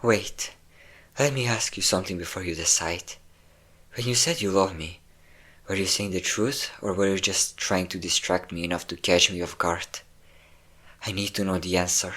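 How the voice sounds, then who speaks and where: A man's voice: low voice, normal speed, bright, forceful tone. A man playing a possessive, obsessive vampire lover in an intimate, dramatic roleplay audio drama. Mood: probing, persuasive.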